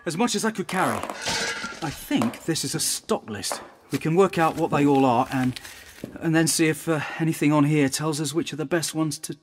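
An actor playing a lonely, desperate, grieving survivor in an audio drama, speaking in halting, broken phrases. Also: male voice, fairly high pitch, music in the background, lightly acted. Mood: worried. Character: grief-stricken, desperate, lonely male survivor. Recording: clear background ambient noise.

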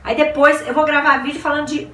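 A woman's voice: sits high, level tone, fast, a bit bright. A woman in her forties chatting to camera about books in a small room, gushing and repeating words as she exclaims. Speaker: book vlogger. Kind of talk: casual chat to camera. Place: small decorated room indoors. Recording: roomy room sound, a bit distant, faint hiss.

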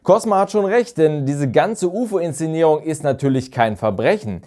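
A man's voice: fairly high pitch, lively intonation, normal speed, darkish tone. A man in his thirties giving an opinionated, explanatory review to camera in a semi-scripted style. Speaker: video reviewer, channel host. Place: small furnished room at home, indoors. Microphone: lapel mic.

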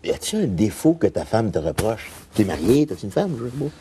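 A man's voice: on the low side, sing-song, a little fast, warm tone, a little hiss or hum. A middle-aged man with a Quebec French accent, co-hosting a comedic interview on a TV set, talking in a hesitant, stammering way. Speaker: comic co-host of a mock interview show. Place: TV studio set.

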